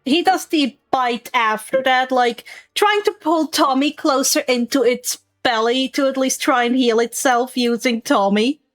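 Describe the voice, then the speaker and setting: A woman's voice: sits high, measured pace, hardly any pauses, dry recording. A woman narrating a tabletop game as game master, describing scenes and explaining rules patiently.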